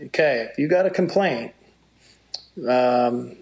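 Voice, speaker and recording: A middle-aged man with a low voice, talking through stories in an interview and sometimes quoting others in a livelier tone. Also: animated, vocal fry, darkish tone, low-quality recording. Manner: unhurried, storytelling, quotes others in a livelier voice. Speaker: motocross broadcaster. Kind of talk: reflective interview talk.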